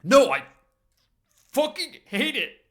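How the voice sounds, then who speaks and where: Young jock acted by a male reader, gruff and blunt, guarded, forceful bright delivery for story narration. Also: very high-pitched, big pitch swings, slow, voice pushed up, exaggerated voice. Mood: choked up. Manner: blunt, swearing. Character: gruff, foul-mouthed young jock with a guarded soft side.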